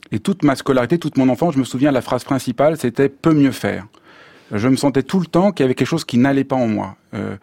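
A man speaks in a reflective, searching way, often restarting his sentences. His pitch sits in the middle range. The studio sound is close and dry, with no room echo. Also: a little mellow. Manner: thoughtful, searching, with many restarts. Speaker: guest, meditation teacher. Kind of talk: radio interview, reflective talk. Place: radio studio.

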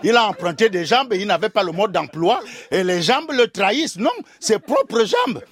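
A man's voice: high voice, normal speed. An older man from Cameroon, telling a comic tale as a guest storyteller in a theatrical way and calling out to the host. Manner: theatrical, animated, addresses the host directly.

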